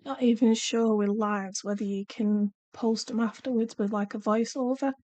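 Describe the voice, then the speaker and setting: A woman's voice: a little high, steady tone, normal speed, darkish tone, no room sound. A middle-aged woman chats casually on a live stream while swatching pencils, her nose bunged up and her throat sore, apologetic and trailing off as she works. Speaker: art channel host. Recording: dull lo-fi home live-stream audio.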